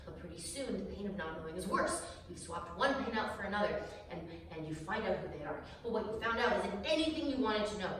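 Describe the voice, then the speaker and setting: An actress playing Tilda, an anxious, clinging psychiatric patient, with intense, emphatic, theatrical delivery. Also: female voice, mid-range voice, lively intonation, quick pace, few pauses, close to an everyday voice. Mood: ominous, urgent. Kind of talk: theatrical stage monologue acting. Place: live stage showcase in a room. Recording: echoey room, distant mic, background noise.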